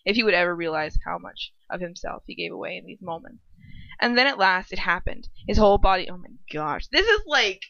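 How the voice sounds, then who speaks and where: A young woman with a bright, forward voice reads aloud casually, often interrupting herself with flustered, giggly asides. The sound is dry and slightly dull, like a lo-fi home microphone. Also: fairly low pitch, sing-song, fairly quick, gravelly, no room sound. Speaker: host reading fan fiction aloud.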